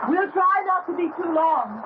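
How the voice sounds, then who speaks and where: A woman's voice: very high-pitched, lively intonation, moderate pace. An actress playing an eager, gushing young woman, the pianist's fiancée, in an old radio drama. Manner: gushing, bright, sociable. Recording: hissy lo-fi old radio broadcast.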